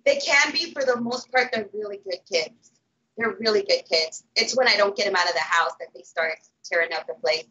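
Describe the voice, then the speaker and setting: A woman in her thirties with a raspy voice, chatting casually and playfully as a guest on a live stream. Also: mid-range voice, brisk, sharp tone, dull audio. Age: 30s. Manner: playful, exclamatory. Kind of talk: casual chat.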